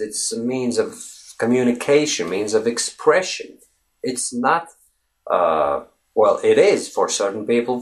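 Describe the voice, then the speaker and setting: A young man whose first language is Russian, giving an unscripted English lesson to camera, talking earnestly with lots of fillers and restarts. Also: mid-range voice. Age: young adult. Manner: earnest and animated, many fillers and restarts, gestures constantly. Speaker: English teaching vlogger. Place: indoors in front of a green screen.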